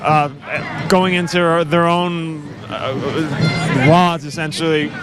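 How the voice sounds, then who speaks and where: A man interviewing at a street protest, asking hesitant, halting questions full of fillers. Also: a little high, fairly even intonation, over a noisy crowd. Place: outdoors at a city protest.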